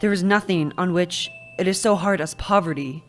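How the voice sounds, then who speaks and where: A woman's voice: lowish voice, moderate pace, faint music behind, close to an everyday voice. A woman playing the young Scrooge in a live radio play, cool and dismissive. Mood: defensive.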